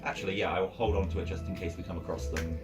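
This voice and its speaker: A man with a deep voice talks extremely fast as a player in a tabletop game. His style is casual. He is a little way from the mic, with ambient music behind him. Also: fairly even intonation, far from the mic. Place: room, in-person game session.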